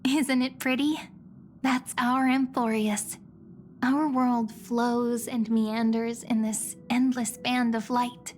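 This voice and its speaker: An actress voicing a gentle, wistful young female companion in a video game, speaking with soft, lyrical earnestness. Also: on the high side, level tone, slow, slight background noise. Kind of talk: scripted video game voice acting.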